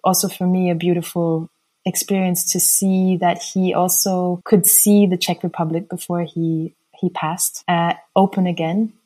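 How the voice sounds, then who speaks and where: A middle-aged woman with a mixed German and American accent, giving thoughtful, hesitant interview answers with many pauses. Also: fairly low pitch, level tone, dark tone, rough recording. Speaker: interview guest.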